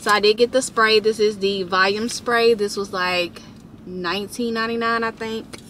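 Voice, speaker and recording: Young woman, chatty vlog talk, close camera mic, steady background noise. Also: mid-range voice, moderate pace. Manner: chatty, addresses viewers as y'all. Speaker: vlogger. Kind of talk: casual vlog chat. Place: parked car, then large store.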